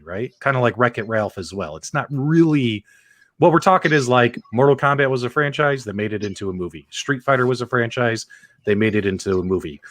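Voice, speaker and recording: An animated man with a mid-range voice hosts a casual live stream in a close, dry sound. He talks informally and directly to his viewers, with pitch that moves around freely. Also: no room sound. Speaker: host of a live panel show. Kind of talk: casual live-stream hosting and chat reading.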